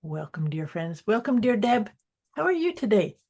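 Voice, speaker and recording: Female podcast co-host, mellow tone, warm encouraging chat. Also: mid-range voice, big pitch swings, normal speed. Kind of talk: casual chat.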